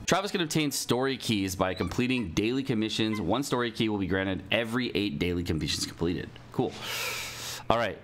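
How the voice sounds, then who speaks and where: A man's voice: fairly low pitch, animated, fairly quick, faint music behind. A young man commentating casually on a game livestream, reading aloud and reacting in informal, swear-prone slang. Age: young adult. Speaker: streamer. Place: indoor room at a computer, livestream.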